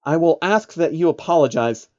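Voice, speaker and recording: A man's voice: fairly high pitch, expressive, moderate pace, darkish tone, close to an everyday voice. A man voicing a composed female pony princess in a storybook reading, polite and formal, on dull audio. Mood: stately, polite.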